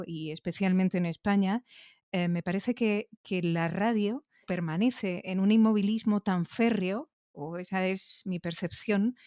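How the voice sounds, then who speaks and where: A woman's voice: medium pitch, a little mellow, no echo, studio quality. A woman with a Castilian Spanish accent hosting a conversational interview, building long, thoughtful questions and checking in with little tags. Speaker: podcast interviewer.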